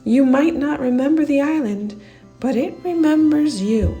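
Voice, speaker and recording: A woman's voice: sits high, measured pace, mellow, faint music behind, pitched above the performer's own voice. A woman voicing an emphatic, affectionate mother in a children's storybook. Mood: tender. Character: vivid, affectionate mother of a young girl. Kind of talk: children's storybook character reading.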